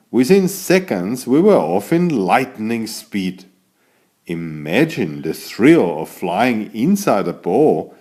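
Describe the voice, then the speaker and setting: A man narrating a scripted bedtime story for small children in an expressive, exclamatory way. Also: on the low side. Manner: expressive, exclamatory, addressing young listeners.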